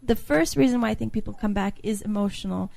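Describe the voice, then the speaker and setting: A woman's voice: medium pitch, fast talker, creaky, dark tone. A woman from Slovakia, a guest author, earnestly explaining her points in a conversational interview and building them up as lists.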